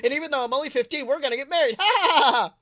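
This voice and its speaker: Host doing a gushy teen superhero girl, higher pitched, bragging super fast. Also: lively intonation, pushed and bright, dry recording, studio quality. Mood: boastful, manic. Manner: shrieking, breathless rattling boasts. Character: gushing, boastful fifteen-year-old winged superhero girl from fan fiction.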